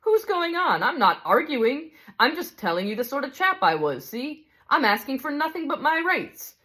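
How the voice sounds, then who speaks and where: A woman's voice: on the high side, animated, normal speed, fairly bright tone, pitched above the performer's own voice. A woman voicing a big, self-righteous male ghost who complains in coarse working-class speech. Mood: aggrieved.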